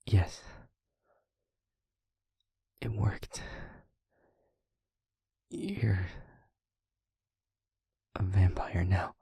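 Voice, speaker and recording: A man playing an anxious, tender, protective young vampire boyfriend in an intimate audio roleplay, speaking haltingly and often in a whisper. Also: low voice, creaky, dark tone, slightly rough, no echo, exaggerated voice. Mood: gentle, careful, then soft, troubled.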